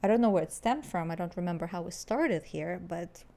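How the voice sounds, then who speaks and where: A woman's voice: lowish voice, lively intonation, a little fast, vocal fry, warm tone. A woman co-hosting a podcast in casual chat, speaking English as her second language, chatty and prone to tangents as she muses aloud. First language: not English. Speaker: podcast co-host.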